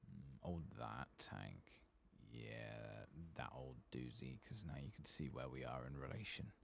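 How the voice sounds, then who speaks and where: A man with a gravelly voice, speaking softly and sometimes whispering in an ASMR game playthrough commentary. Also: very animated, measured pace, slight background noise. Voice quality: gravelly, very deep. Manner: soft-spoken, sometimes whispering. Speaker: ASMR gaming streamer.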